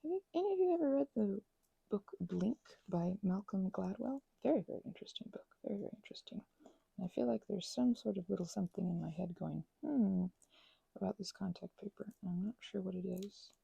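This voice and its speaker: A woman in a soft-spoken ASMR crafting ramble, talking close to the microphone in a meandering way and often slipping into whispers. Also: medium pitch, a little fast, creaky, husky, no echo, muffled recording.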